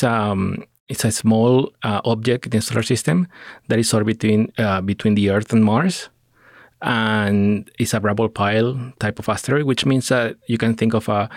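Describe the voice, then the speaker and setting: Guy, kinda low voice, lots of uhs and restarts, dry sound. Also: dry recording. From Peru. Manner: hesitant, many fillers and restarts. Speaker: astrochemist guest. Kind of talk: podcast interview.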